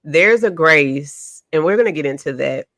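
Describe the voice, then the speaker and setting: A woman hosting a podcast, reading a formal introduction and then chatting warmly and affirmingly with her guest. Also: deep voice, expressive, normal speed, dry recording, studio quality. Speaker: podcast host. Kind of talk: interview hosting.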